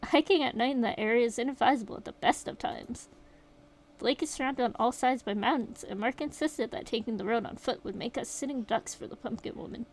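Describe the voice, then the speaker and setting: A woman voicing a shaken young survivor, reading the account aloud in a steady, rueful way. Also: a little high, fairly quick, poor audio. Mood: grim retelling. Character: shaken young survivor recounting a horror night.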